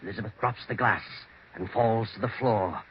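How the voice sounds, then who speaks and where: Low-voiced, ominous old-time radio narrator man. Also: a little hiss or hum, natural voice. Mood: ominous, grave. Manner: dramatic, ominous, measured. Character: grave, foreboding male narrator of a ghost mystery. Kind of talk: 1940s radio suspense narration. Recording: dull 1940s radio recording. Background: dramatic music.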